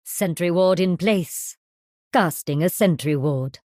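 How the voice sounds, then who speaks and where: A woman with an Indian accent playing a composed, commanding mystic summoner in a video game, speaking in a measured, formal, imperious way. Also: fairly low pitch, darkish tone, no room sound, studio quality. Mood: imperious, ominous.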